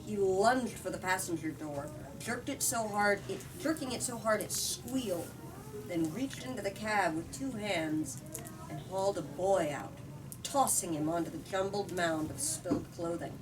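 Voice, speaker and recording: A woman's voice: medium pitch, a little slow. A woman reading a storybook aloud to listeners and talking about it, with dramatic, emphatic delivery. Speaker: host reading book excerpts aloud. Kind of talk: expressive storybook read-aloud with discussion. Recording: distant mic, noisy room ambience.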